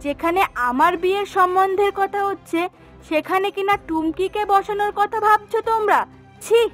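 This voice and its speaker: A woman voicing a bad-tempered, sharp-tongued young adult daughter in a cartoon, accusing and indignant. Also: extremely high pitch, normal speed. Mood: sarcastic, indignant. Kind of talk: Bengali cartoon voice acting. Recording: music behind the voice.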